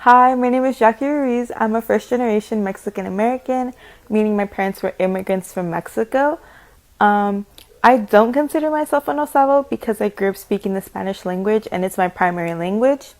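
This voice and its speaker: A woman's voice: medium pitch, a little fast. A young woman giving personal testimony as a student interviewee, speaking plainly and steadily with a few ums.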